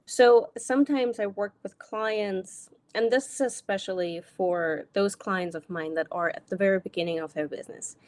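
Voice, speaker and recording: A woman's voice: mid-range voice, moderate pace, hardly any pauses. A young adult woman from Germany with an American accent, talking directly and energetically as a business coach in a solo live video. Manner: energetic, direct, casual with occasional swearing.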